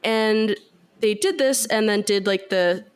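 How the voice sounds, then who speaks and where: A woman's voice: mid-range voice, measured pace, clean tone. A woman with a cold sounding congested, chatting on a podcast as she explains a science fact with lots of fillers. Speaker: podcast co-host.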